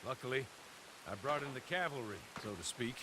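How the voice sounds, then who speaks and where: An actor playing a composed, inventive gentleman in a western game, speaking in a dry, understated, clipped way. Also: mid-range voice, animated, fairly quick, close to an everyday voice. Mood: dry, confident. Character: composed, inventive gentleman ally in the Old West. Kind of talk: dramatic game cutscene acting. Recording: clear rain noise behind. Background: rain.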